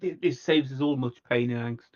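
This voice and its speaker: A man voicing a reasonable, diplomatic vampire negotiator in a tabletop roleplay, halting and polite, trying to conciliate. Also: medium pitch, a little mellow, muffled recording, natural voice. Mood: calm, conciliatory.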